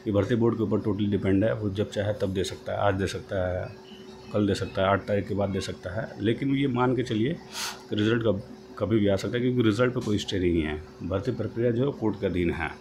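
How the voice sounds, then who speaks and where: A man giving an informal explanatory update, halting and rephrasing as he goes, mixing in English terms. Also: on the low side. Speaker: host of a job-news channel. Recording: noisy home-made voice-over, background noise.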